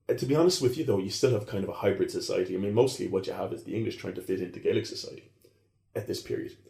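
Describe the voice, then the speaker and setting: A man talks fast, circling back on himself with plenty of fillers. His voice is lowish, dark and mellow, and it carries in an echoey room. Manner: fast, rambling with many fillers and restarts. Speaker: historian guest. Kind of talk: casual podcast conversation.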